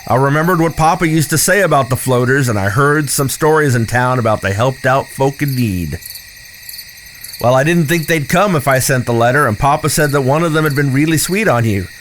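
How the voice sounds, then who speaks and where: A man's voice: medium pitch, a little fast, a bit bright, slight background noise, natural voice. A young man playing an earnest, apologetic frontier lad in a western audio drama. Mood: anxious, confessing. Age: young adult.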